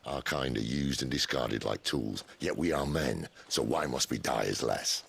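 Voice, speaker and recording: A man's voice: a bass voice, moderate pace, gravelly, fairly bright tone, full character voice. An actor voicing a wry, world-weary male outlaw leader, talking in a dry, teasing way. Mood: earnest. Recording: game cutscene with ambient noise.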